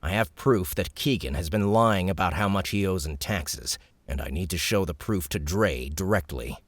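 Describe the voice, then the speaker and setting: A man's voice: sits low, lively intonation, moderate pace, no room sound. A man doing a careful young schemer. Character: cautious young man disguised as a soldier.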